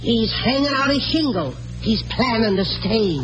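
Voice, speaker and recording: An actress playing a nosy, plain-spoken housekeeper in a classic radio drama, folksy and sharp-tongued. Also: female voice, a little high, animated, unhurried, loud music behind the voice. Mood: needling. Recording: dull old radio recording.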